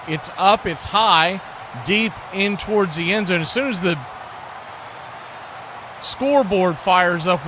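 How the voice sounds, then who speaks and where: A man's voice: high-pitched, background noise. A man doing live football play-by-play, mixing clipped calls with folksy, chatty asides. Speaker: play-by-play announcer and stream host.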